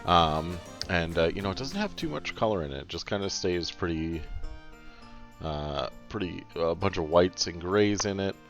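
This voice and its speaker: Low-voiced man doing game commentary. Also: lively intonation, moderate pace, soft background music. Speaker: video creator narrating gameplay. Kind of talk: casual build commentary over sped-up game footage.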